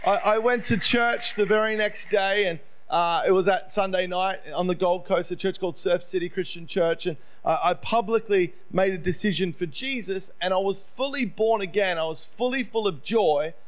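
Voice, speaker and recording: High-pitched Australian preacher, a middle-aged man. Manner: conversational, self-deprecating storytelling. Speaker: visiting preacher. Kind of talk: sermon, personal testimony.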